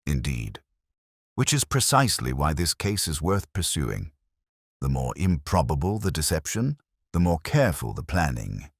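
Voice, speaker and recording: A man voicing a coolly analytical, commanding Victorian detective in an audiobook, speaking with precise, deliberate phrasing. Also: very deep voice, very animated, creaky, no room sound, studio quality, exaggerated voice. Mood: measured, decisive.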